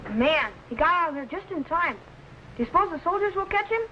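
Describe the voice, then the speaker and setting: Casting: eager boy assistant, very high voice, vintage sci-fi serial, noisy recording. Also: animated, a little fast, bright, forceful tone, heavily acted. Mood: curious, excited. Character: eager, boyish young assistant to a captain. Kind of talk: old-time children's sci-fi serial acting.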